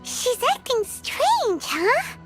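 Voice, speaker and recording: A woman voicing a tiny, chatty, excitable fairy girl in a video game, with a squeaky childlike voice. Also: drawn-out words, full character voice. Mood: puzzled. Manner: refers to herself by name, exclaims readily. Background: game music.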